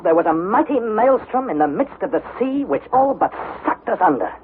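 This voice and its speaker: An actor playing an earnest young adventurer, narrating perils theatrically in a vintage radio drama. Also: male voice, high-pitched, sing-song, moderate pace. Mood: suspenseful. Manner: theatrical, suspenseful. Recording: narrow-band vintage radio broadcast, music behind.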